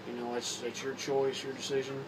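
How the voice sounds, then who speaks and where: Hoarse Kentucky man rambling, flat voice. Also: medium pitch. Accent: Kentucky Southern. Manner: rambling, halting with repeats and fillers. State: slightly hoarse from a cold. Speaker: vlogger. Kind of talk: casual talk to camera. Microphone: phone or camera mic. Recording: distant camera mic with background noise.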